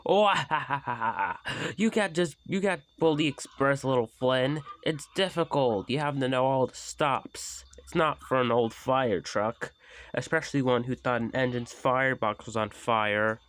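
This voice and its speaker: A man's voice: mid-range voice, moderate pace, a bit bright, voice pushed up, lightly acted. A man voicing a proud, pompous big male express engine who blusters and scoffs. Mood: mocking. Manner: haughty, blustering.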